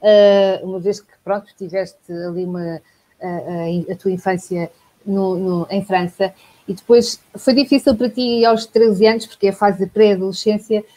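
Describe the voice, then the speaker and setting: A woman's voice: medium pitch, steady tone, moderate pace, warm tone, rough recording. Friendly woman hosting a chat show. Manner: friendly and encouraging, with affirming interjections. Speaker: host. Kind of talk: casual interview chat.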